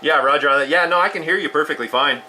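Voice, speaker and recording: A man's voice: on the high side, fast talker. A man chatting casually as a radio hobbyist, agreeable and a little hesitant in his phrasing. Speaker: radio hobbyist recording the contact. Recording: clear background radio noise and clicks.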